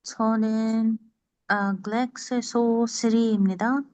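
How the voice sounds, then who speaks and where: A woman's voice: a little high, fairly even intonation, racing, a little mellow, no room sound. A woman who learned Korean as a foreign language introduces herself over slightly dull online call audio. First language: not Korean. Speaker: community member, Korean learner. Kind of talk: self-introduction.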